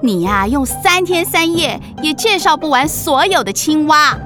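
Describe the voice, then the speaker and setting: A woman voicing a lively, affectionate, teasing witch aunt in a children's radio drama. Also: high-pitched, normal speed, soft background music. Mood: exasperated, wistful. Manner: playful, expressive.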